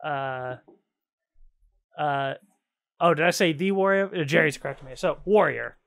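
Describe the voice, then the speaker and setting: Guy podcast cohost, voice a bit on the high side, rambly and slangy, into his games and shows. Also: unhurried, creaky, a bit bright. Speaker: podcast co-host. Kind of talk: casual chat about video games and shows.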